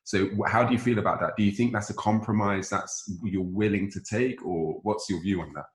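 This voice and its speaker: A man hosting a casual group discussion, putting probing questions in a halting way and rephrasing as he goes. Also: lowish voice, steady tone. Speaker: host of a discussion show. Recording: roomy, echoing voice pickup.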